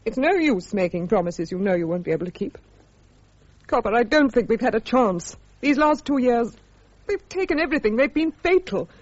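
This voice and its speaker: An actress with a British accent playing an army officer's weary, bitter wife in a radio drama, brittle and sardonic. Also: female voice, a little high, animated, fairly quick, darkish tone. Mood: weary, resigned. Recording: dull, lo-fi radio drama recording.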